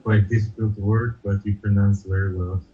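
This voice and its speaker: A young man speaks English in a very low, flat and unhurried voice, pausing often as he searches for his words. The tone is dark and mellow. The sound is dull and distant, with faint noise behind it. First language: Russian. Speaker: interview guest. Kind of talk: casual video-call conversation.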